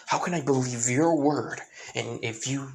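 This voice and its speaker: A man voicing a cold, bitter young woman bent on revenge, in a hushed, breathy murmur. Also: measured pace, a little hiss or hum. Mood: threatening. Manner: low, menacing murmur.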